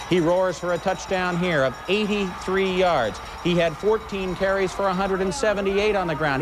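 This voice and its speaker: A man anchoring a sports segment, narrating game highlights with colorful, folksy phrasing. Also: background noise.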